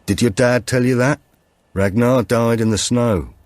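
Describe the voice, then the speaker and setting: A man's voice: mid-range voice, measured pace, a little mellow, dry recording, voice pushed up, natural voice. A man voicing an elderly, reflective great-uncle in an audiobook thriller. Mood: reflective, grave.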